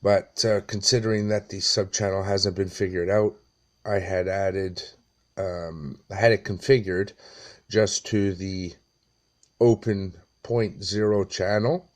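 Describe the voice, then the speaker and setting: Guy with a lowish voice doing a casual walkthrough, really slow and halting, lots of pauses. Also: steady tone. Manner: halting, plain-spoken, pauses often. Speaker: amateur tutorial presenter. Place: small dim room indoors.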